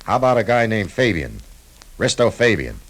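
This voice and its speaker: A very low-pitched American man from 1950s radio drama, a hard-boiled cafe owner. He talks in clipped, understated lines, yet his pitch moves up and down freely. He is tough and terse. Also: very animated, moderate pace, a bit bright, poor audio. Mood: pressing, stern.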